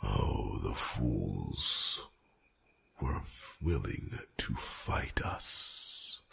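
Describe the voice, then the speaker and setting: A man voicing an ancient, courteous, proud dragon in a fantasy ASMR roleplay, speaking formally in a gravelly, breathy near-whisper with rumbling hums. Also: big pitch swings, full character voice. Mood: proud storytelling. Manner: slow, formal, drawn-out phrasing with rumbling hums. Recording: noisy track with loud nature ambience.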